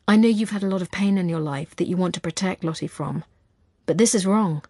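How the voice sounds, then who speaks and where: A woman's voice: fairly low pitch, a little fast, warm tone, no echo, clean studio sound. A woman voicing a blunt, exasperated, protective man in an audiobook, confrontational and indignant in manner. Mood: reproachful.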